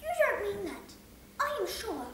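A good-natured young man, played by a woman reader, coaxing and gaily teasing. His voice lifts very high and bright. The sound is roomy and echoing, captured from a distance. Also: sing-song, measured pace, a little hiss or hum, full character voice. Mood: cheerful. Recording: echoey room, mic at a distance.

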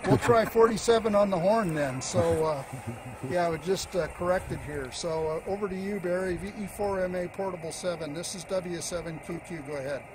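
A man's voice: sits high, a little fast, a crowd in the background. An older man working as an amateur radio operator, giving call signs and repeating short radio phrases. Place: outdoors on an open hilltop. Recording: noisy outdoor field recording.

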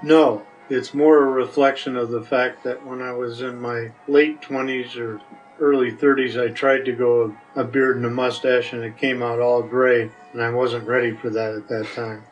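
An older man heard a bit off the microphone through a dull recording. He answers interview questions casually, in brief and plain-spoken replies at a medium pitch. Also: flat delivery, moderate pace, soft background music. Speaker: recurring podcast guest answering questions. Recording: dull, slightly distant microphone.

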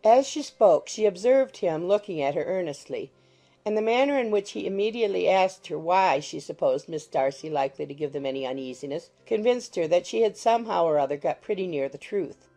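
A woman reads literary narration for an audiobook. Her voice is fairly low and mellow, with a marked creak, and music plays underneath. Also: animated, fairly quick. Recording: music behind the voice.

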